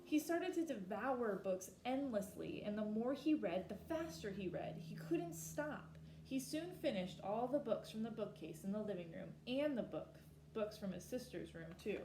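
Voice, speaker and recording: A woman reading a children's picture book aloud expressively, slowing down for emphasis and pausing to ask her listeners questions. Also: medium pitch, animated, reverberant, noisy background. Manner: asks listeners reflective questions. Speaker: storybook reader.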